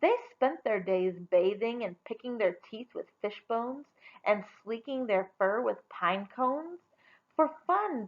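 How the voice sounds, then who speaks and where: A woman reading a children's story aloud in a dramatic, deliberate storytelling manner. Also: mid-range voice, vocal fry, lo-fi sound. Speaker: storybook reader. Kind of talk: expressive children's read-aloud narration.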